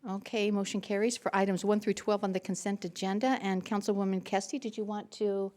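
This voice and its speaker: A woman's voice: medium pitch, fairly even intonation, a little mellow. Lady mayor chairing the meeting, calling votes, plain mid voice. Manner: procedural, brisk motions and votes.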